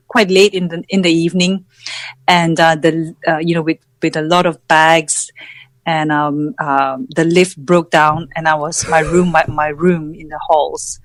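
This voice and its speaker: Woman, middle-aged, from Malaysia, lowish voice, reflective, halting podcast guest, dry close sound. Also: dry recording. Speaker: podcast guest, founder and coach. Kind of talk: reflective personal interview talk.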